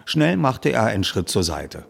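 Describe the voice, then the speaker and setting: A man reading a youth detective story as narrator, in a measured, expressive storytelling way. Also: on the low side, vocal fry, breathy edge. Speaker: audiobook narrator. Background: music under the reading.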